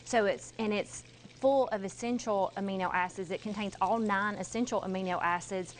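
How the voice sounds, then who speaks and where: A woman's voice: mid-range voice, normal speed, a little hiss or hum, poor audio. Polite woman explaining a recipe. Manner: polite, eager, agrees often with yes sir. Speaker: registered dietitian guest. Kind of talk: TV cooking demo explanation. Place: TV studio kitchen set.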